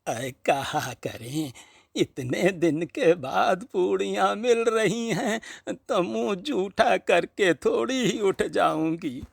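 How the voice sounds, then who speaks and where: A man's voice: sits high, slow, gravelly, no echo, clean studio sound, voice pushed up, full character voice. A man voicing a frail old village woman, hungry and brooding, muttering plaintively to herself in a storybook reading. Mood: greedy, defiant.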